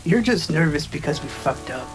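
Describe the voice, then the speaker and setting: Tough, blunt giant orc. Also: female voice, low-pitched, animated, music in the background. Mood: firm, irritated. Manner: blunt, unhurried, slangy. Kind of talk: scripted audio drama dialogue.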